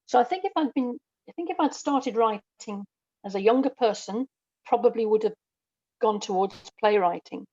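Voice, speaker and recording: A woman's voice: fairly high pitch, moderate pace, warm tone, no echo. Woman in conversation, slightly muffled dry sound. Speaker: guest, audio dramatist and performer. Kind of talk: conversational interview storytelling.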